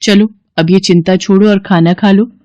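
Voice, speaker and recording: Cartoon village wife, voiced by a female narrator: caring, devout, expressive, respectful, lifted above a low natural register, dry sound. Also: moderate pace, no room sound, muffled recording, natural voice. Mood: reassuring. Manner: earnest, expressive, respectful to husband.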